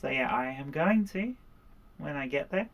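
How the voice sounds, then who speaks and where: A livestream host chats in a casual, unscripted way. The voice sits at a middle pitch, and the talk is chatty and prone to laughter. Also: lively intonation, moderate pace, creaky.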